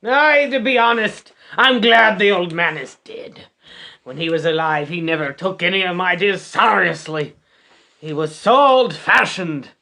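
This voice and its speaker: A man's voice: high-pitched, a little slow, gravelly, sharp tone, full character voice. A man playing a brash, self-important, ambitious police lieutenant in a comic radio play, with a dismissive manner. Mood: smug, defensive.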